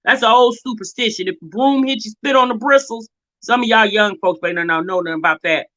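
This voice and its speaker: A woman's voice: medium pitch, fairly quick, pushed and bright, lo-fi sound. An American woman giving casual, opinionated commentary as a reaction video host, blunt and sassy, often exasperated and firing off rhetorical questions.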